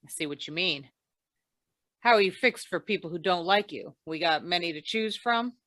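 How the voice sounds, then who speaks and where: A woman playing a wry, shrewd hard-boiled insurance investigator in deadpan 1940s radio noir style. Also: lowish voice, moderate pace, no room sound, clean studio sound. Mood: wry, probing.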